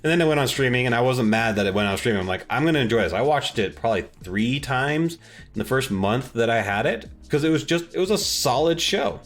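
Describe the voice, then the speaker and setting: A man's voice: medium pitch, lively intonation, a little fast, fairly bright tone. A man co-hosting a podcast, chatting casually and enthusiastically in slangy, conversational language. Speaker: podcast co-host. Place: recorded remotely, separate from co-host. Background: background music.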